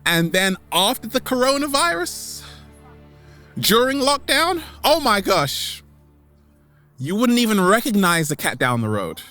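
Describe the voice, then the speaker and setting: A man hosting a vlog as a comedic monologue to camera, theatrical, with dramatic pauses and repeated catchphrases. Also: high-pitched, big pitch swings, bright, forceful tone, soft background music. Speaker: vlog host.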